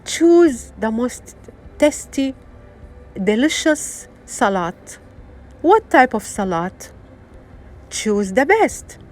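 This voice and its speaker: Slow, earnest woman with a Syrian accent. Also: a little high, sing-song, darkish tone. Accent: Syrian Arabic. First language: Arabic. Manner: halting, many fillers and restarts, earnest. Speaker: wellness vlogger. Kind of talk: unscripted motivational talk to viewers.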